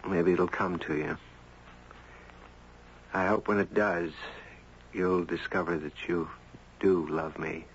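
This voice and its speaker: Low-voiced, gentle, reflective man. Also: fairly even intonation, takes it slowly, pauses often, slight background noise. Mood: tender. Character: gentle, reflective man narrating his own tale, Ben. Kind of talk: 1970s radio drama acting. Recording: dull 1970s radio broadcast.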